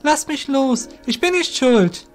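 A man's voice: extremely high pitch, lively intonation, slow pace, soft background music, pitched above the performer's own voice, full character voice. A man voicing an anxious student illusionist in a fantasy audio drama, uneasy and exclaiming. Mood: panicked.

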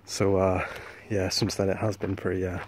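A man vlogging while exploring abandoned mill grounds at night, talking in a hushed, hesitant way with many fillers, recorded on a handheld camera outdoors. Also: deep voice, flat delivery, moderate pace. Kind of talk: casual vlog narration. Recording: faint outdoor background noise.

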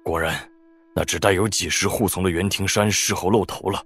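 A man's voice: sits low, fairly even intonation, a little fast, a bit bright, faint music behind. An actor playing an awestruck, nervous Taoist trickster who speaks humbly and colloquially to his lord in a Chinese wuxia audio drama. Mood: eager, boastful. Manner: deferential, fawning, colloquial.

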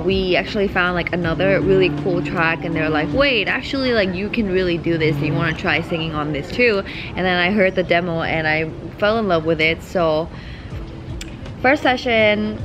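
Chatty young woman vlogging outdoors. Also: medium pitch. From Thailand. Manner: chatty, expressive, talks with her hands. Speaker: singer vlogging her trip. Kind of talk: casual vlog chat. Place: outdoor park picnic shelter. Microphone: camera on a small tripod at arm's length.

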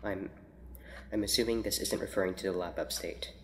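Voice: sits low, steady tone, fairly quick, lightly acted. An actor playing a terse, guarded prison scientist, clipped and reserved, in an audio drama. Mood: doubtful. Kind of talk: naturalistic audio drama acting. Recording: roomy echo, faint ambient noise.

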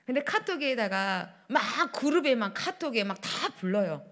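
A middle-aged woman pastor preaching a sermon at a church pulpit, swinging between loud declarations and hushed asides. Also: fairly high pitch, big pitch swings, moderate pace. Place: church sanctuary, standing at pulpit. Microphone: pulpit microphones.

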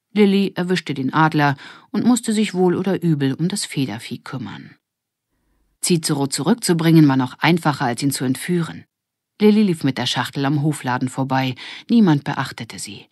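A woman's voice: low-pitched, a little mellow, dry recording, studio quality. A woman narrating a scripted children's story as an audiobook reader.

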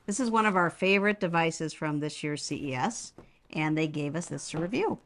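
A woman with a low-pitched voice co-hosts a relaxed product review. She talks casually as she unboxes and demonstrates an item. The recording is made indoors in a home. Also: fairly quick, a little hiss or hum.

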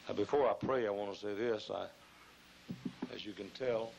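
An older man preaches from a pulpit microphone in a large church, his lowish voice hoarse and rough with gravel. He hammers his points, repeats phrases and swells into loud bursts. A faint hiss from an old video recording sits under him. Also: fairly even intonation, moderate pace, highs cut off. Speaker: pastor. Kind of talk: fiery sermon. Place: large church auditorium, choir behind.

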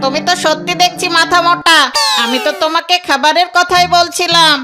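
Sly young fox for kids' cartoon: piping high, whiny, greedy, quarrelsome; loud music underneath. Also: fairly even intonation, brisk. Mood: eager, scheming. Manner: whiny, scheming, argumentative. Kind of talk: children's cartoon voice acting. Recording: loud children's music behind the voice.

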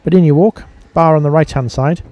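A man's voice: a little high, a little fast, no room sound, lo-fi sound. A man giving a casual voice-over review as a vlogger.

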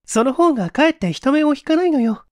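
A male narrator puts on a young woman in a mystery audiobook. She is secretive yet bold, with polite, hurried feminine speech. The pitch is lifted well up and swings freely. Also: lively intonation, a little mellow, no room sound, studio quality. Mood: bold, composed. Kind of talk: audiobook character acting.

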